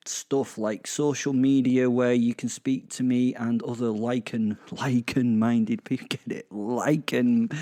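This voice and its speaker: A man's voice: mid-range voice, mellow, close to an everyday voice. A man playing a chatty, irreverent werewolf who narrates a comic horror audio drama in rambling, self-interrupting asides. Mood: sheepish, rambling. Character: irreverent, chatty, starstruck male werewolf killer. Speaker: narrator and host. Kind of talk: first-person comic horror monologue. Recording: music behind the voice.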